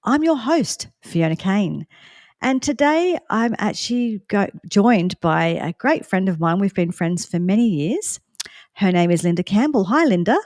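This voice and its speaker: A woman's voice: medium pitch, lively intonation, unhurried, darkish tone, no room sound, clean studio sound. A woman, hosting a podcast in a chatty, friendly way and laughing often. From Australia. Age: 50s. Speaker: podcast host. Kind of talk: casual interview conversation.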